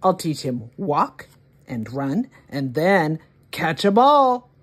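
A woman hosting story time, reading a children's picture book aloud in an expressive, deliberate way for young children. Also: sits low, slightly rough.

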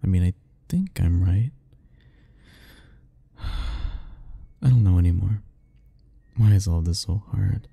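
A young man softly speaking a tender, hesitant ASMR roleplay, musing aloud. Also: sits low, takes it slowly, creaky, pauses often, breathy edge. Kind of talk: soft-spoken ASMR roleplay.

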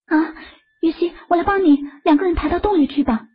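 A man voicing a worried young woman who asks quick questions in a high falsetto, recorded on muffled lo-fi audio.

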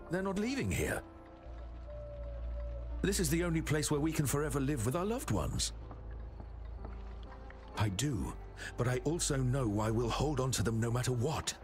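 A man's voice: medium pitch, close to an everyday voice. An actor voicing a weary, devoted doctor who earnestly defends his choices in a game.

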